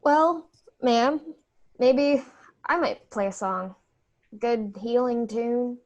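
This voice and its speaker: A woman's voice: fairly high pitch, very slow, lo-fi sound. A woman playing a wary, earnest young refugee in a staged play reading, hesitant and polite. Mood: hesitant, grateful. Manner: hesitant, polite Southern phrasing.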